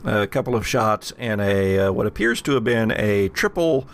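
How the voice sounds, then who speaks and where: A man working as game master, improvising narration for a tabletop horror game in a halting, deliberate way with pauses. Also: fairly low pitch, expressive. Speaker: game master of an actual-play horror podcast.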